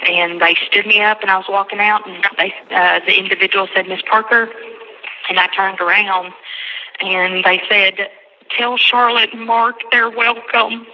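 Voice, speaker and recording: A woman on a recorded jail phone call, recounting a conversation in a halting, repetitive way and quoting others at length. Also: medium pitch, steady tone, pushed and bright, slight background noise. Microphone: telephone handset.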